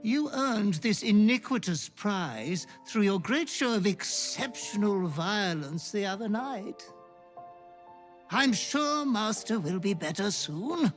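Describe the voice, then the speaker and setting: An actor voicing a fawning, gleefully depraved fiendish butler creature in a video game, theatrical and florid. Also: male voice, high-pitched, lively intonation, slow pace, soft background music. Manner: theatrical, obsequious, florid wording. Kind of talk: video game character acting.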